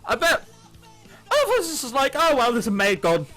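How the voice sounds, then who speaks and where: A man hosts a visual novel playthrough in a high, very animated voice. His tone is bright and forceful, with jokey asides between the lines he reads. Soft game music runs behind him. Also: moderate pace. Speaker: gaming video host. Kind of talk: reading a visual novel aloud with jokey commentary. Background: game background music.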